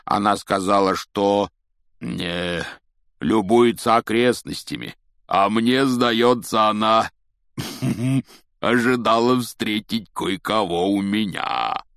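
A man voicing a big, good-natured giant groundskeeper for a storybook, with a gruff, folksy, blunt way of talking. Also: fairly low pitch, slow pace, a bit bright, no room sound, clean studio sound. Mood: sly, teasing. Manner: hesitant, folksy, teasing, blunt.

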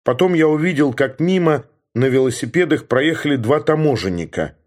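A man giving a steady, measured first-person narration from a novel, in the manner of an audiobook reader. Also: fairly high pitch.

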